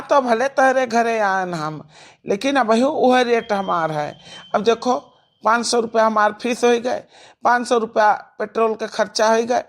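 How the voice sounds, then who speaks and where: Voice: mid-range voice, natural voice. An actor voicing a blunt, money-minded village doctor in a cartoon comedy, with an Awadhi accent and matter-of-fact delivery. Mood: demanding.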